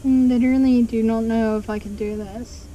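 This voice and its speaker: A woman's voice: on the high side, fairly even intonation, normal speed, warm tone, highs cut off, slight background noise. A woman streaming a game live, hesitant and self-deprecating as she talks to her chat while losing her voice.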